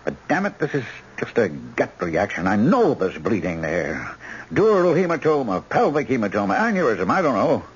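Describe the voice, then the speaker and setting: An actor playing a brisk, decisive hospital surgeon in a radio drama, with a commanding, clipped, pensive way of talking. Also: male voice, medium pitch, sing-song, husky. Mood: urgent, grave. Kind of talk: 1970s radio drama acting. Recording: muffled old radio broadcast recording.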